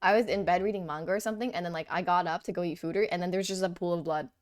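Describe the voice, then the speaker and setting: Candid young woman chatting, somewhat low. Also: fairly even intonation, very fast, a little mellow. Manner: candid, laughing often, filler "like". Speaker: podcast co-host. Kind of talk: casual chat.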